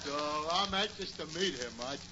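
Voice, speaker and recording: An actor playing a dim-witted but amiable tavern regular, with a doltish, hesitant drawl in old radio comedy. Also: male voice, on the high side, normal speed, natural voice. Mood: dopey. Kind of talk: 1940s radio comedy acting. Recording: dull, hissy 1940s radio recording.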